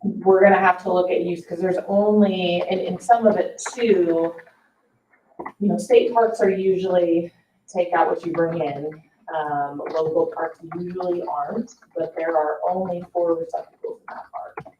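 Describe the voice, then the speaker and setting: A woman's voice: fairly low pitch, steady tone, moderate pace, mellow. A woman who works as parks staff, explaining practical points with examples in an informal board discussion. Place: indoor public meeting room. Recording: echoey meeting room, distant mic, faint noise.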